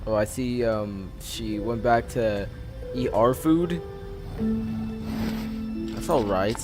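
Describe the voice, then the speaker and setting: A man giving casual gameplay commentary in halting, low-key muttered asides. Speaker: gamer. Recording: loud game music behind the voice. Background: game music.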